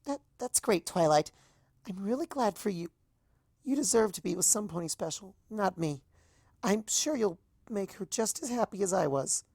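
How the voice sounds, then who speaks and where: A woman plays a young female pony from a storybook, meek and heartbroken. The voice is pitched up high and pleads earnestly, halting and apologetic. The recording is close and dry. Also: lively intonation, darkish tone, slightly rough, no room sound. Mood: crushed, faltering. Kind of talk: dramatised fan fiction reading.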